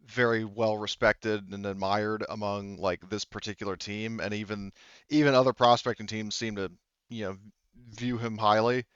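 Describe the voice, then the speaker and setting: Male game master, clean smooth tone, explanatory and halting, improvising, on a slightly muffled mic. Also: on the low side, fairly bright tone, no echo. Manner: halting, explanatory, rephrases as he goes. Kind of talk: improvised narration of a tabletop roleplaying game.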